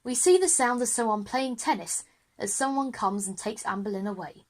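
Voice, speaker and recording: A woman's voice: on the high side, normal speed. A woman reading scripted narration for a children's audio drama.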